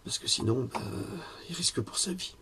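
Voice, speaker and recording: A hoarse man giving a lecture in a conversational way, often pausing and correcting himself. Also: medium pitch, lively intonation, sharp tone, a little hiss or hum. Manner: conversational, with frequent fillers and self-corrections. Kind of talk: health lecture with personal asides.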